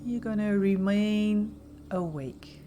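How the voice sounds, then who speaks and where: Slow-paced woman guiding meditation. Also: medium pitch, faint music behind. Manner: soothing, gentle, softly spoken instructions with pauses. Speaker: guided meditation instructor. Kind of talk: guided meditation narration.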